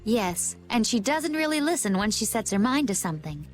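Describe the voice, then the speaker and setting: A woman's voice: fairly high pitch, sing-song, moderate pace, soft background music. Composed woman, lilting intonation. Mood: assured. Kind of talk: video game voice acting.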